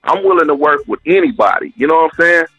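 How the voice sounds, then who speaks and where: A man from Oklahoma with a deep voice, talking casually as a guest in a radio interview, loose and repetitive. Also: expressive, fast talker, fairly bright tone, slightly rough, dry recording, lo-fi sound. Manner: loose and casual, repeats himself, slangy. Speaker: guest musician.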